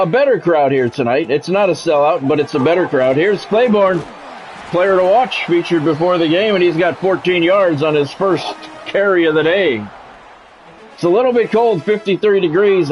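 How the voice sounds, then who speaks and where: A man's voice: fairly high pitch, slight background noise, low-quality recording. A man streaming a sports video game, mixing casual chat to viewers with play-by-play commentary and repeating himself as he goes.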